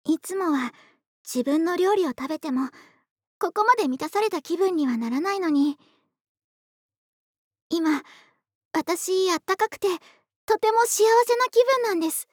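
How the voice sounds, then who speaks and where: A voice actress playing a polite, doting young woman next door in an intimate, soft-spoken ASMR roleplay. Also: extremely high pitch, animated, measured pace, long pauses, no echo, clean studio sound. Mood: happy, tender. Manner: soft-spoken, courteous, polite speech, affectionate. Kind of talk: Japanese ASMR situation voice drama, intimate roleplay. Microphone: close studio microphone, dry.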